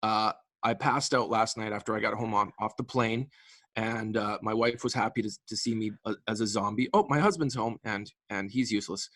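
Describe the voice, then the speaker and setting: A man's voice: on the low side, fairly quick, fairly bright tone, dry recording. A man in his late forties from the Canadian prairies, chatting as a guest musician in a casual interview, enthusiastic and rambling with frequent restarts. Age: late 40s.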